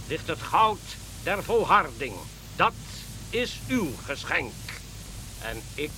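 A man's voice: a little high, bright, forceful tone, exaggerated voice, low-quality recording. A man playing a grave, devout king in a radio play, speaking formally like a preacher. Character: solemn, devout king following a star. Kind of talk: Dutch radio play acting. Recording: faint background hiss.

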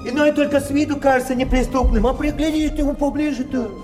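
An actor playing a confident, philosophizing card sharp with emphatic, rhetorical delivery in an audio play. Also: male voice, very high-pitched, steady tone, fast. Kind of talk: theatrical audio play acting. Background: music.

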